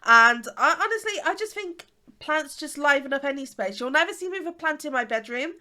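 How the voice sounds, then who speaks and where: Congested young woman, bright voice. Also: sits high, lively intonation, a little fast. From England. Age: 20s. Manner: rambling, chatty, many fillers. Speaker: YouTuber. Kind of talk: casual chat.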